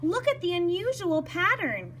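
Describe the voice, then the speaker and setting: A woman voicing admiring villagers at a market in a children's folktale, with drawn-out awed emphasis. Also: extremely high pitch, big pitch swings, fairly bright tone, slight background noise, pitched above the performer's own voice, full character voice.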